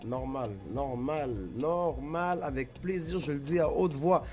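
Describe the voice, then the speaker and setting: Male guest, unhurried and playful, slightly high voice, jokes and slips into English. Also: a little mellow, soft background music. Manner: playful, joking, laughs a lot, mixes in English. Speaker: interview guest. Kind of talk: casual chat.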